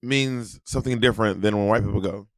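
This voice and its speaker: A man's voice: fairly low pitch, fast. A man with a slight Southern accent co-hosting a podcast over a video call, teasing and joking in casual chat. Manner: teasing, sings playful made-up lines. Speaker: podcast co-host.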